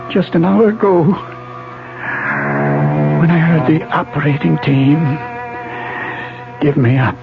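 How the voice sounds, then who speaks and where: Wounded professor in old 70s radio play, halting dramatic telling, muffled with big music. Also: male voice, high voice, creaky, full character voice. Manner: dramatic, halting first-person narration. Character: gravely wounded male professor recounting a violent attack. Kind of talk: 1970s radio drama acting. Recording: 1970s radio recording, muffled, music behind. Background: loud dramatic music.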